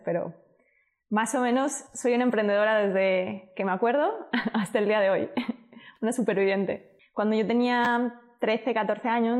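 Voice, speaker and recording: A woman's voice: medium pitch, a little mellow. A woman in her thirties from Ecuador with an accent mixing Spain and Mexico City, chatting as a podcast guest, laughing often and trailing off mid-phrase. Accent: Spanish from Spain mixed with Mexico City. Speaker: guest, entrepreneur. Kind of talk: casual conversational storytelling.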